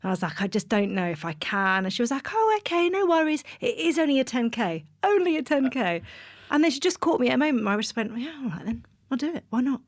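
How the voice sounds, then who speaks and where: A woman's voice: high-pitched, big pitch swings, fast, no room sound, clean studio sound. A woman hosting a podcast, chatting casually with her guest and laughing often. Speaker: podcast host. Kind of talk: casual interview chat.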